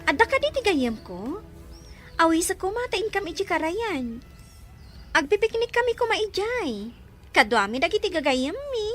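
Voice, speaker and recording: A woman with an Ilocano accent playing an excitable, evasive young village friend in an audio drama, hesitant and prone to exclaiming. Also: very high-pitched, normal speed, pauses often, soft background music. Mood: polite, eager. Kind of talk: Ilocano radio-style drama acting.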